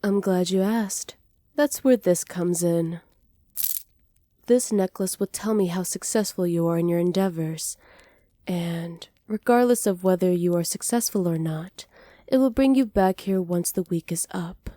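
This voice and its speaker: A woman playing a flirty, cruel evil witch in a soft ASMR roleplay, teasing and smug, close to the mic. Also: on the low side, dry recording, lightly acted. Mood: sly, businesslike. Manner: teasing, smug, unhurried, often dropping to near-whispers. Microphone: close microphone, dry.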